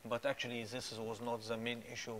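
Bright-voiced man, halting speech. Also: mid-range voice, monotone, slight background noise. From Egypt. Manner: hesitant, many "uh" fillers, non-native phrasing. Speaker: guest. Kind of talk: testimony interview. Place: small studio set with plants.

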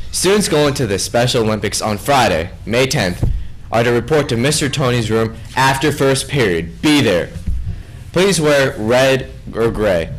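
A young man reads the day's school announcements from a small studio desk, his pitch bouncing all over the place as he cracks jokes between items. He sounds playful and animated, and his voice is mid-range, with a faint hiss in the background. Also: poor audio. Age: young adult. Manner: playful, jokey, animated with big hand gestures. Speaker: student news anchor.

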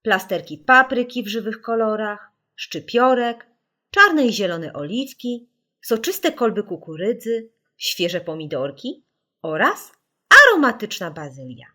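Female narrator for kids' audiobook: expressive, very varied melody, mid-pitched, dramatic stress on key words. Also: a little slow. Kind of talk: expressive scripted storytelling for children.

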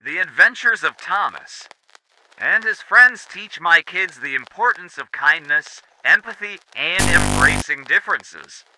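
A man speaking as a parent in a scripted testimonial, full of animated, warm praise. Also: sits high, measured pace. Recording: noisy with clicks.

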